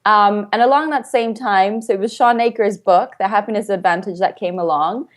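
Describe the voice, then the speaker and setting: Female coach from Finland, medium pitch, informal and explanatory, talking as a guest in a relaxed interview format. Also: normal speed. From Finland, with international upbringing. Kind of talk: casual explanatory interview talk.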